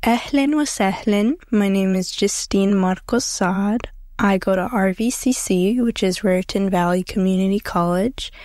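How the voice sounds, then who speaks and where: A young American woman, a student, answering an interview casually with proud, warm talk and some restarts. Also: medium pitch, unhurried, no echo, studio quality. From United States.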